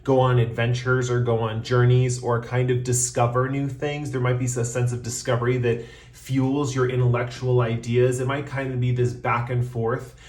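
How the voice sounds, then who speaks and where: A man giving a casual tarot reading, talking in a relaxed, rambling way with many fillers while he is losing his voice. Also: medium pitch, roomy sound. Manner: relaxed, rambling, frequent ums, slows to announce cards. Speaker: tarot reader.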